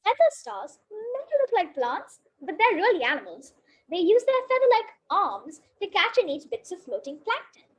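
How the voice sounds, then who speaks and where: A woman's voice: extremely high pitch, sing-song, normal speed, lo-fi sound. A female reader reading a children's picture book aloud in a careful way, now and then tripping on a word. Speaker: story reader.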